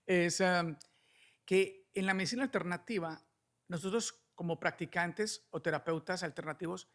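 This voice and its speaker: A man's voice: high-pitched, normal speed, a bit bright. A middle-aged man with a Colombian accent, explaining things conversationally as a guest and often adding little checking questions. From Cali, Colombia. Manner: frequent checking tags like "¿no?". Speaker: podcast guest, alternative medicine doctor.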